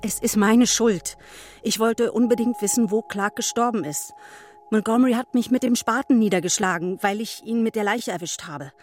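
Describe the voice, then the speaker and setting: An actress playing a shaken but resolute witness in an audio drama, speaking earnestly. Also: female voice, on the high side, normal speed, faint music behind, close to an everyday voice. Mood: urgent, shaken. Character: shaken but resolute woman witness explaining a violent night.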